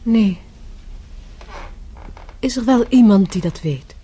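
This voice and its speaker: Mellow, composed young woman. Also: on the high side, expressive, slow pace, exaggerated voice. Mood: quiet, wistful. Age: young adult. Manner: quiet, reserved, questioning. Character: composed, guarded young woman, probing gently. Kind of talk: radio drama acting. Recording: radio play with clear background noise.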